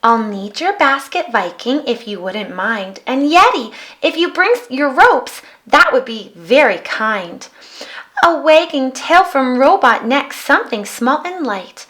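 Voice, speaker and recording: A woman's voice: sits high, sing-song, a little slow, bright, forceful tone, exaggerated voice. A woman voicing a kind, eager, earnest little girl in a children's storybook. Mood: confident, helpful. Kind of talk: children's storybook read-aloud.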